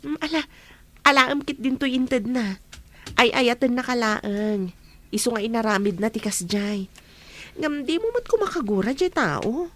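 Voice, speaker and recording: A woman's voice: a little high, lively intonation, a little slow, fairly bright tone. A woman playing a frank, caring young friend in an audio drama, speaking earnestly with a Filipino accent. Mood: concerned, probing. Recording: faint background noise.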